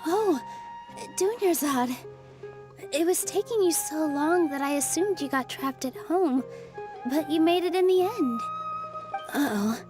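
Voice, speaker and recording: Sweet, sincere young game heroine, high and expressive, a dancer's eager spirit, light music behind. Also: female voice, measured pace, long pauses. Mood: surprised. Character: sweet, earnest, enthusiastic young female dancer. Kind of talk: video game voice acting.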